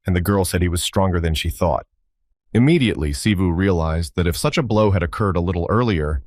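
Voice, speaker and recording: A man reading a scripted story recap in a steady read-aloud way. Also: deep voice, no echo, clean studio sound. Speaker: recap narrator.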